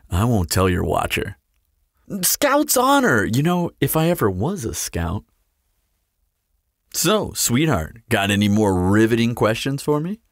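A man's voice: mid-range voice, creaky, no echo, clean studio sound. A man playing a cocky, swooping, flirtatious vampire. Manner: playful, drawling, theatrical, sardonic. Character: teasing, flirtatious, cocky immortal male vampire. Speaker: audio roleplay performer. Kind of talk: scripted audio roleplay acting.

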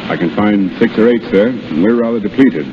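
A man playing a steady, dutiful army captain in a 1930s radio drama, clipped and restrained. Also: on the low side, fairly quick, natural voice. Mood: tense, dutiful. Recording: muffled, very noisy 1930s radio recording.

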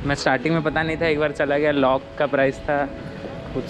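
A man's voice: fairly high pitch, level tone, fast, noisy background. Curious man on a street walk. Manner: curious, asks many questions. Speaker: vlogger. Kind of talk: casual walking-tour chat. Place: outdoor city shopping street.

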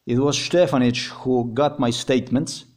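A man's voice: medium pitch, steady tone, normal speed. A man with a Slovenian accent, a native Slovenian speaker, giving a heated personal account in English, repeating himself and swearing as he talks. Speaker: vlogger.

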